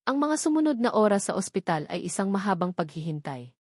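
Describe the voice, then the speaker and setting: Woman narrator, dramatic measured storytelling, middle pitch, dry close recording. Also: big pitch swings, darkish tone, no room sound.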